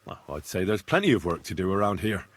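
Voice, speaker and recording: An actor playing an earnest, polite young male commoner in a video game, with a husky voice. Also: sits low, big pitch swings, fast. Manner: polite, plain-spoken. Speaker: player character.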